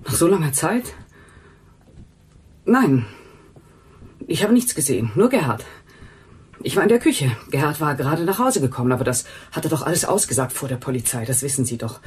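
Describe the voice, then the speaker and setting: A woman's voice: low-pitched, big pitch swings, moderate pace, pauses often, darkish tone, slightly rough, full character voice. A woman playing a defensive, agitated housekeeper in a radio crime drama, speaking in insistent, broken sentences. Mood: defensive, uneasy. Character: defensive, agitated housekeeper protective of her brother. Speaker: actress in a crime radio play. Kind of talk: German radio drama acting.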